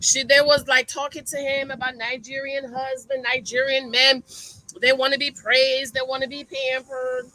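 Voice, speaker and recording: A woman retells a reality show at home in a loose, chatty way and acts out every gasp. Her voice sits high and sounds bright. The recording is dry and close. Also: flat delivery, moderate pace, dry recording, poor audio. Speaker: reaction vlogger. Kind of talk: casual reality-show recap. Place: at home, street outside audible.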